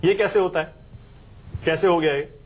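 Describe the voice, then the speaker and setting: A man anchoring a TV news show, interviewing a guest in the studio with brisk, teasing questions. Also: on the high side, husky.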